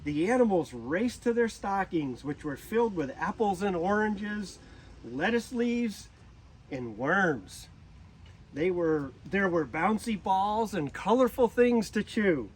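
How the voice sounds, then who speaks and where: A man reading a children's storybook aloud in a deliberate manner, occasionally stumbling over a word. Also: high-pitched, very animated, a little hiss or hum, poor audio. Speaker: zoo director reading a storybook.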